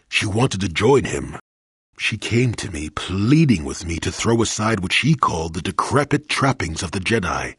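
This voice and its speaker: An actor playing a gruff, rueful old former Jedi in a video game, an elderly storyteller with a gravelly voice and a wry, rambling, dramatic way of talking. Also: male voice, deep voice, no echo, studio quality, heavily acted. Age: older. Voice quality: gravelly, husky. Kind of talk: scripted video game voice acting.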